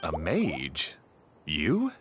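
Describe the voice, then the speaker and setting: A man's voice: very deep voice, huge pitch swings, drawn-out words, a little hiss or hum, full character voice. A man voicing a laid-back, slangy caretaker in a video game, with a casual, offhand way of talking.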